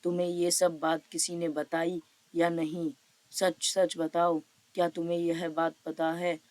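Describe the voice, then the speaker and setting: A woman's voice: fairly low pitch, flat delivery, a little slow, darkish tone, lightly acted. A woman voicing an authoritative, paternal male village headman in a cartoon story, questioning, reassuring and giving orders. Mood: grave questioning.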